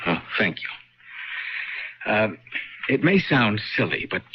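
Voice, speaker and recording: An actor playing an earnest, awkward American husband in a radio drama, hesitant and stammering. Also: male voice, fairly low pitch, big pitch swings, slow pace, full character voice. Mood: awkward, apologetic. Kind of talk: 1970s radio drama acting. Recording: muffled old radio broadcast, clear noise.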